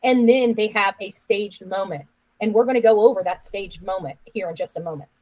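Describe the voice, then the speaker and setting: Opinionated woman livestreamer, normal pitch, pointed and insistent, repeats herself to make the point. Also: fairly quick, a little mellow, rough recording. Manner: accusatory, insistent, repeats phrases for emphasis. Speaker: online commentator. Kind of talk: opinionated live-stream commentary.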